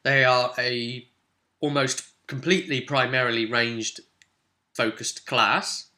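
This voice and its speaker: A man's voice: mid-range voice, fairly even intonation, slow pace, bright, forceful tone. A man presenting a guide in an informal, explanatory way, chatty and given to tossing in rhetorical questions. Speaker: presenter of a tabletop game guide.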